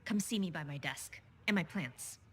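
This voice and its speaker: An actress playing an awkward, cautious fort sentinel in a sci-fi game, speaking hesitantly. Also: female voice, low voice, animated, normal speed, fairly bright tone, slight background noise. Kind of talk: conversational video game voice acting.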